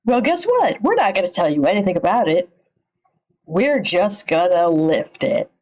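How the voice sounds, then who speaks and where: A woman's voice: fairly low pitch, big pitch swings, normal speed, a little mellow. A woman livestream host giving casual, slangy reaction commentary, repeating phrases and swearing a little.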